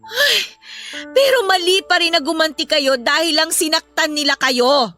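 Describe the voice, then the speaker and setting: A woman's voice: extremely high pitch, moderate pace, sharp tone. A woman playing a worried, protective mother who counsels her children earnestly in an emotional radio drama. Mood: worried, scolding. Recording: music bed behind the voice.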